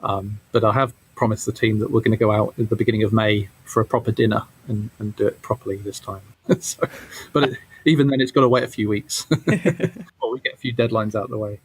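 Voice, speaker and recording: A man's voice: on the low side, fairly quick, slightly rough, rough recording. A man speaking casually as an interview guest, explaining things as a game developer and rephrasing as he goes.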